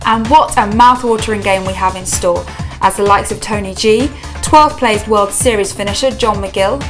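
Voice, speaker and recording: Woman doing dramatic intro voiceover, music under it. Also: mid-range voice. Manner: punchy, dramatic delivery. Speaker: show narrator. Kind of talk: scripted voice-over narration.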